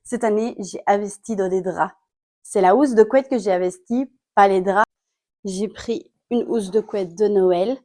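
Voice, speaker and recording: A woman's voice: medium pitch, a little slow, a little mellow. A woman vlogging casually from her bedroom while she has a cold, chatting candidly and rambling to the camera. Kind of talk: casual vlog chat to camera. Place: home bedroom. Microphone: phone camera.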